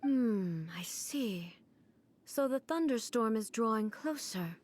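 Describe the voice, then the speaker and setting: A composed, regal woman ruler speaks slowly and formally in a slightly raised register. Every sentence is measured and controlled, aloof yet unhurried. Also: fairly high pitch. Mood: calm. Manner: calm, measured, formal speech. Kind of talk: video game cutscene dialogue.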